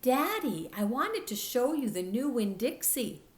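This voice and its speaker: An earnest, lonely little girl of ten, played by a woman reader, confiding in a storybook. She speaks slowly and emphatically, with a slight lift above the narration, in an echoing room. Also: a little high, sing-song, pitched above the performer's own voice. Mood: earnest, pleading.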